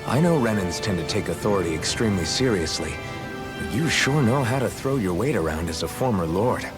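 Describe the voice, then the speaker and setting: Man acting a low-voiced, earnest game hero. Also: expressive, fairly quick, loud music behind the voice, natural voice. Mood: concerned. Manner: direct, questioning, plain-spoken. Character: blunt, earnest young male hero, former slave. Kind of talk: video game cutscene acting.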